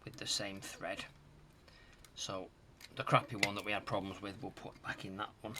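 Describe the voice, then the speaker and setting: A man's voice: fairly low pitch, little pitch movement, moderate pace, noisy background. A man giving a casual hands-on repair commentary, muttering to himself in short fragments as he works. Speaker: host of a retro tech repair channel.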